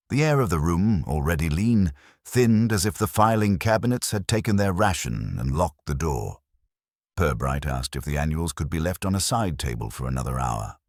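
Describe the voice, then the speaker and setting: A male audiobook narrator with a very deep, husky voice. He reads literary mystery fiction in a measured, wry way, with lively rises and falls in pitch. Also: no echo, clean studio sound.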